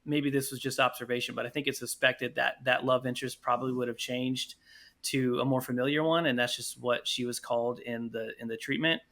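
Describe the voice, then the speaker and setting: A man's voice: medium pitch, fairly even intonation, brisk, bright, forceful tone. A man speaking as a podcast guest in a casual interview, talking about his production work.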